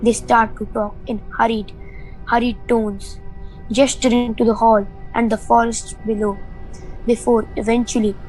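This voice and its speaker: A woman narrating a spooky radio play in a deliberate storybook delivery. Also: a little high, level tone, a little mellow, soft background music, low-quality recording.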